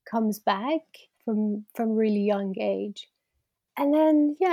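A woman's voice: medium pitch, no echo. Reflective woman from Iran, dry sound. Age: 30s. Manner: warm, reflective, laughs easily. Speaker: guest. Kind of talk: conversational interview.

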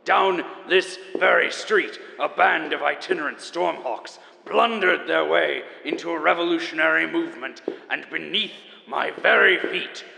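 An actor playing a grandiose, theatrical chronicler enduring after the apocalypse, pompous and melodramatic in an audio drama monologue. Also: male voice, fairly high pitch, unhurried, gravelly, hardly any pauses, long reverb tail, noisy background. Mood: wistful, grandiose. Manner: pompous, melodramatic, savoring each word.

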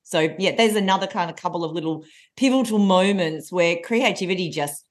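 Lively woman in her forties. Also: lowish voice, fairly quick. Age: 40s. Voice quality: loud voice. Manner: lively storyteller. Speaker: coach and trainer. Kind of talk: podcast interview.

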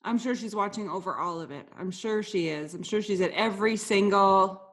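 A woman hosts a relaxed podcast interview in a mid-range voice. She talks freely and warmly with her guest, dropping in casual fillers as she goes. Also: mid-range voice, normal speed. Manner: friendly, talkative, casual fillers. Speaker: podcast host.

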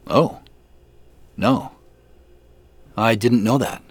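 A man's voice: mid-range voice, drawn-out words, husky, dry recording. An actor playing a polite, reserved businessman in a naturalistic audio drama. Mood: careful, curious. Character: polite, reserved businessman revisiting a farm from his youth. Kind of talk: naturalistic audio drama dialogue and first-person narration.

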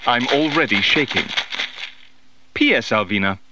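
An actor playing an excitable, theatrical man leaving a playful message for a friend in a scripted audio advert. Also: mid-range voice, unhurried, noisy background, lightly acted. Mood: gleeful, excited. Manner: theatrical, enthusiastic.

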